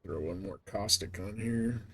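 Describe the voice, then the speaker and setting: A man's voice: fairly low pitch, a little slow, vocal fry, a bit bright, husky. Man casually rambling on a game stream. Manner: rambling, thinking aloud while playing. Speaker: video game livestreamer. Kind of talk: casual gaming stream chat.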